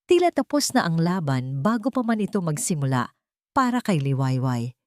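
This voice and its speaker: A woman's voice: low-pitched, very animated, normal speed, a little mellow, dry recording, studio quality. A woman reading a scripted, dramatic romance story as its narrator.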